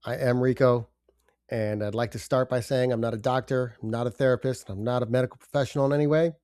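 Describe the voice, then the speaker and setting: Older male podcast host with a head cold, stuffy and low-key, dark mellow tone, dry close sound. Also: steady tone, clear, resonant tone, dry recording. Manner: halting, subdued, with pauses and fillers. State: congested from a cold. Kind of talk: personal confessional monologue.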